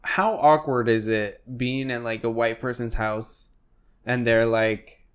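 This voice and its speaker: A young man in his twenties from Mexico, hosting a relaxed podcast chat. He talks loosely, leans on fillers, and swears casually. His voice sits at an ordinary middle pitch for a man. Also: takes it slowly, clear, resonant tone. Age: 20s. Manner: loose and conversational, many "like" fillers, swears freely.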